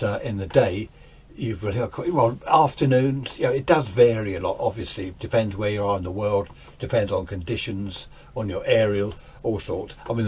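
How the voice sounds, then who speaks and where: A man's voice: lowish voice, a little mellow, breathy edge. A British man giving a casual, rambling, off-the-cuff demonstration as an amateur radio hobbyist in a home radio room. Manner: rambling, conversational. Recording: noisy room, radio static behind.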